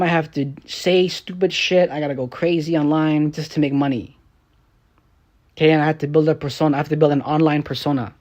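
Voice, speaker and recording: A young man, a streamer and rapper, ranting on a live stream in a rambling, profane, frustrated way. He drops into low mutters and then bursts out loud. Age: young adult. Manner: swings between low mutters and raised outbursts.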